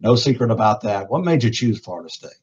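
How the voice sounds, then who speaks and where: A man's voice: fairly low pitch, big pitch swings, quick pace, darkish tone, breathy edge, muffled recording. A man hosting a live booster club interview, talkative and upbeat, introducing and questioning players.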